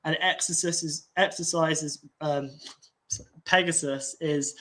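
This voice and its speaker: A man, nasal and congested, talking in a slow, halting way with many restarts and fillers. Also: fairly high pitch, steady tone, sharp tone. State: congested from an infection. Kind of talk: casual rambling talk.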